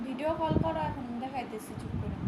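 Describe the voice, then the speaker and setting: A woman's voice: sits high, fairly quick. A young woman chatting casually, as on a prank phone call for a vlog. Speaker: prank vlogger. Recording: very noisy, echoey room recording.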